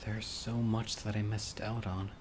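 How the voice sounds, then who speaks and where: A man acting a critically ill, wistful and obsessive young man in an intimate, earnest audio roleplay monologue, musing softly to his date. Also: on the low side, flat delivery, a little slow, natural voice. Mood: wistful, hopeful. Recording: clear outdoor insect and bird noise. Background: birdsong, crickets and insects of a lakeside.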